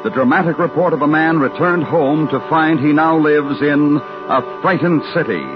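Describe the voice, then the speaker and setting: A man's voice: on the high side, normal speed. An American man announcing a radio program in a dramatic, scripted 1950s style. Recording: muffled old radio, loud music.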